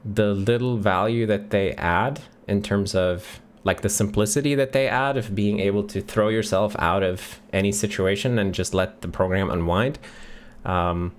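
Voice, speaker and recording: Swedish-accented man, middle-aged, lowish voice, laid-back livestream host, close boom mic. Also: moderate pace. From Sweden. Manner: relaxed, thinks aloud with many ums, reads chat questions out. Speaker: livestream host answering chat questions. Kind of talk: casual live Q&A. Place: home office, indoors. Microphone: studio mic on a boom near the face.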